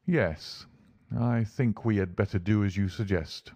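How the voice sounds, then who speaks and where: A man voicing a shrewd, commanding English gentleman detective, brisk, courteous and authoritative, in a storybook reading. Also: lowish voice, no room sound. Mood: assured, courteous.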